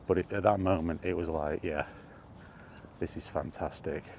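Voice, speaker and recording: Low-pitched man chatting over background noise. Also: fairly even intonation, moderate pace, darkish tone. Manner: conversational, rhetorical questions and asides. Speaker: vlog host. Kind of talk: casual film chat monologue. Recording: clear background ambient noise.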